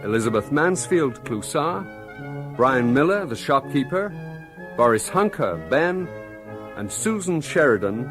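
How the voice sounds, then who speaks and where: A man's voice: mid-range voice, big pitch swings, measured pace, music in the background. A man reading closing credits for a radio drama as a scripted announcer.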